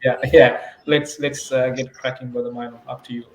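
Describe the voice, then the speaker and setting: A man's voice: mid-range voice, flat delivery, fairly quick, darkish tone. A man from Sri Lanka co-hosting a live online interview, speaking hesitantly with lots of ums. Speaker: co-host. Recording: echoey room with faint ambient noise.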